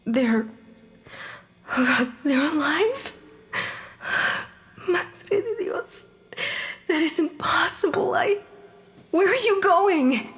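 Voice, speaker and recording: An actress playing a dead mother in an audio drama, bitter and anguished, speaking haltingly and stammering through pauses. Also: very high-pitched, lively intonation, full character voice. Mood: shocked, panicked.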